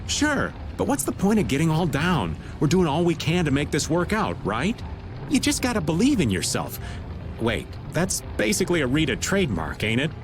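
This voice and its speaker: A man's voice: a little high, wildly swooping pitch, normal speed. A laid-back, teasing older man. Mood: easygoing. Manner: easygoing, teasing drawl. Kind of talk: video game voice acting. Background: game music.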